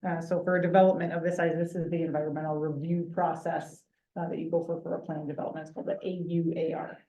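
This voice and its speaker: A woman facilitating a community meeting, handing over to speakers in a friendly, halting way. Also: deep voice, little pitch movement, mellow, low-quality recording. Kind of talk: moderating a public meeting.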